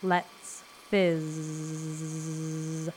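A dreamy, self-absorbed woman in an audio drama. Her voice sits a little low and she speaks very slowly, in a deadpan rhythm. A faint hiss runs behind her. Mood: trance-like chanting.

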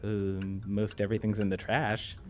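A man voicing a calm, matter-of-fact husband at home in an audio drama. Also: lowish voice, moderate pace, noisy background, lightly acted.

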